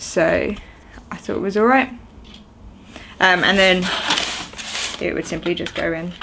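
A woman's voice: lowish voice, vocal fry, heavy background noise. A young woman in her twenties chatting casually while unboxing at home on camera, rambling with lots of ums and uhs. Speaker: video host. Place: small indoor room at home, seated on a sofa.

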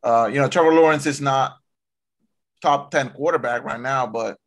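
Animated man chatting on a headset. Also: mid-range voice, normal speed. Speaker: podcast co-host. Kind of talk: casual sports chat. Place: home room, video call.

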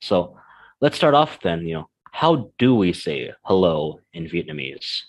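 A man's voice: fairly low pitch, unhurried, gravelly. A young American man co-hosting a language lesson podcast, chatting casually with plenty of fillers. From United States. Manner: relaxed, chatty, many fillers. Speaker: co-host.

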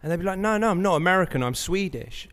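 A man's voice: high-pitched, expressive, fast, a bit bright. Man, podcast host, laid-back, lots of fillers, echoey studio. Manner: casual, filler-heavy questions. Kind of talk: casual interview chat. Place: large bright studio, indoors. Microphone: stand mic.

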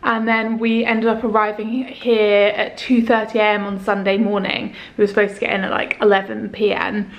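A woman's voice: medium pitch, flat delivery, moderate pace, hardly any pauses, poor audio. Young woman vlogger, chatty and upbeat, camera held at arm's length indoors. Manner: chatty, upbeat, rambling with fillers. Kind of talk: casual vlog house tour. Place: rented house, indoors. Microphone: handheld camera at arm's length.

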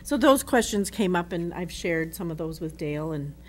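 Middle-aged woman speaking at a meeting, low voice. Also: animated, a little mellow, soft background music. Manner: halting, restarts and trails off between thoughts. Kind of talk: board meeting discussion. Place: board meeting room. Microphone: desk microphone.

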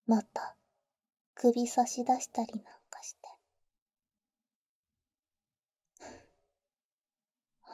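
A female vampire acted by a woman whispers slowly and very softly, right up against a dry microphone. Her voice is high and very mellow, with a teasing, tender big-sister manner suited to intimate roleplay ASMR. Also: dry recording, full character voice. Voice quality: soft, breathy, mostly whispered. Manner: slow, tender, teasing, boyish casual phrasing. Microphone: close, dry ASMR microphone.

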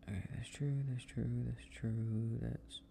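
A young man in an ASMR boyfriend roleplay, muttering softly and distractedly to himself as he works, half in a whisper. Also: medium pitch, steady tone, a little slow, creaky, a little hiss or hum. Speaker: audio roleplay performer.

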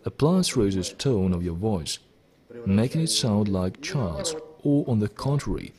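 A middle-aged man giving formal, deliberate scripted instructional narration as a presenter of technical videos. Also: lowish voice, very animated, a crowd in the background. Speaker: presenter of electronics kit videos.

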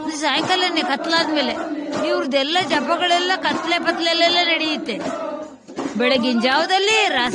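Really high voiced lady w rural south karnataka accent, vlogging and scolding, keeps repeating questions, crowd noise around her. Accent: Kannada, rural southern Karnataka. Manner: scolding, repetitive rhetorical questions. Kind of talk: casual spoken commentary and argument to people on the spot. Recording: live location with crowd noise behind.

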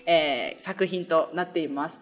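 A woman's voice: sits low, a little slow, faint music behind. A young woman from Okinawa, a guest actress, chatting casually and politely on a talk show. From Okinawa, Japan. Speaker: guest, actress promoting a stage play. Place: small indoor office studio. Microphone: tabletop microphone.

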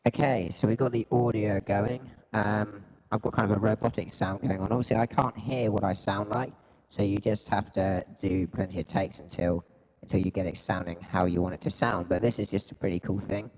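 A young man giving a casual tech demo through a robotic, pitched-down effect with reverb on a handheld mic. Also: steady tone, fairly quick, darkish tone. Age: young adult. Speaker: video tutorial host. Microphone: handheld vocal mic through effects app. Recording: processed voice with long reverb.

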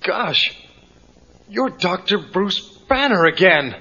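A male actor playing an eager, excitable, loyal teenage boy on a children's read-along record. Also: high voice, slow, heavily acted. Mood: amazed, earnest. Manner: excitable, exclaiming. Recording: muffled cassette audio, faint music.

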